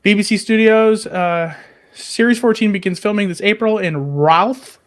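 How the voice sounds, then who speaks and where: A man's voice: high-pitched, normal speed. A man co-hosting a podcast, chatting casually about fan news with a joking, irreverent manner. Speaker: podcast co-host.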